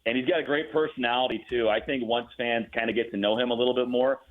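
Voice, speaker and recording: A man's voice: medium pitch, fairly even intonation, fast. A man speaking as an enthusiastic guest sportscaster in a conversational interview, telling things fluently. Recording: tinny narrow phone-like line.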